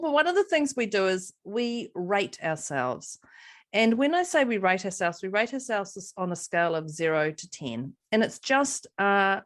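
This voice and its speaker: A woman with a New Zealand accent co-hosting a podcast in casual chat, bubbly and laughing often. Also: medium pitch. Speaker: podcast co-host.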